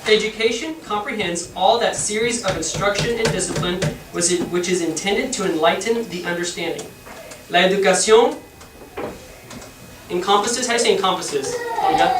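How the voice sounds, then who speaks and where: Halting teacher. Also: medium pitch, animated. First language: English. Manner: halting, searching for words while translating. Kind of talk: bilingual classroom lesson. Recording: echoey room, distant mic, noisy.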